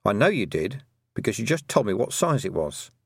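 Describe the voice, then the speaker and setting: A shrewd witch woman from a fantasy audiobook, played by a male narrator. She is brisk and dry-witted, firing off knowing questions and remarks with a lively lilt. The recording is close and dry. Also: fairly low pitch, gravelly, breathy edge, dry recording, heavily acted. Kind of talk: audiobook character dialogue.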